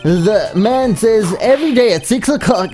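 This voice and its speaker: A lively, mellow-voiced male clown witness from a courtroom game, played by a woman, rambles eagerly. The pitch bounces around freely, and music plays underneath. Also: normal speed, natural voice. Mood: jokey. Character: chatty, eager male circus clown witness fond of bad jokes. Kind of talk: amateur voicing of a courtroom video game.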